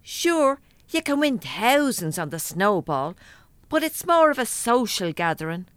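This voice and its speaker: Cheeky irish cleaner in a radio play, gabby and blunt, really clear voice, no room sound. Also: female voice, medium pitch, big pitch swings, unhurried, no echo. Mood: enthusiastic. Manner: colloquial, blunt, chatty. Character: chatty, cheeky working-class Irish cleaning woman, bingo devotee. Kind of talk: audio drama dialogue.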